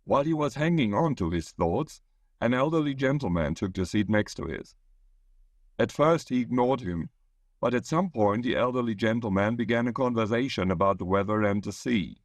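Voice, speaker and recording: A man's voice: medium pitch, expressive, normal speed, dry recording. A man reading his own short story aloud as a scripted narration.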